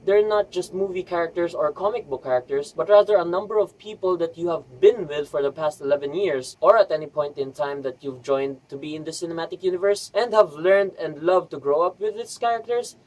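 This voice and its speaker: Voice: mid-range voice, fairly quick, hardly any pauses, a little mellow, rough recording. A vlogger giving an enthusiastic, scripted review in a chatty, emphatic way with rhetorical asides.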